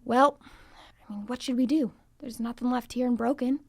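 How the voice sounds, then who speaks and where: A woman's voice: a little high, fairly even intonation, normal speed, gravelly, darkish tone, no echo, studio quality. A woman playing a practical, loyal small-town friend who takes the lead, casual and reassuring, in an improvised radio drama. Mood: reassuring, resolved.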